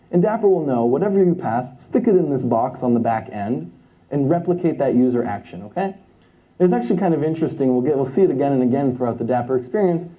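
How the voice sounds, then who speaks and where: A young man at mid pitch gives a conference demo, walking the audience through each step and checking in with "okay?" along the way. The lapel-mic recording sounds a little dull and lo-fi. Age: young adult. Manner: explanatory, often checks in with "okay?" and "right?". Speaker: conference speaker. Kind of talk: technical conference talk with live demo. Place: large conference hall stage with projector.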